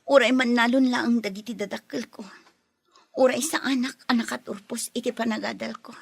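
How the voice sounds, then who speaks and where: A sincere, wounded young Filipino girlfriend in a radio drama. Her voice is breathy and a little high. She speaks plaintively in a dry, close recording. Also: steady tone, normal speed, no room sound. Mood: hurt. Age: young adult. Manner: plaintive, earnest. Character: hurt, sincere young girlfriend standing up for herself. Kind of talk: Ilocano radio drama acting.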